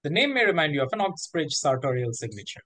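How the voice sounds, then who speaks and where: A man's voice: a little high, very animated, fast talker, pushed and bright, no echo. A man hosting a quiz, reading out questions and ruling on the answers. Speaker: quiz master.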